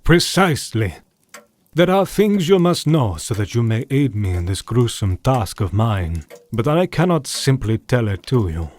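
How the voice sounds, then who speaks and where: An actor playing a grave older doctor and mentor in an audio drama, speaking formally and deliberately. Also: male voice, medium pitch, big pitch swings, darkish tone. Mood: solemn, instructive. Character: grave older male doctor and mentor, solemn vampire hunter.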